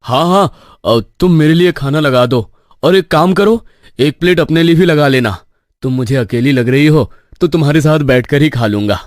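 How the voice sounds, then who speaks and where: A man's voice: on the high side, normal speed, dry recording, studio quality, close to an everyday voice. A man playing a nervous, sceptical young stationmaster from the city in a cartoon horror story. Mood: placating, wary.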